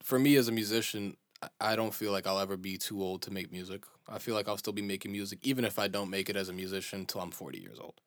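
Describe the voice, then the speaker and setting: A man's voice: on the low side, quick pace, no room sound. A man in his twenties, a musician, chatting casually on a podcast in an emphatic, slangy way, calling people "dude" and "man.". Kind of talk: casual chat.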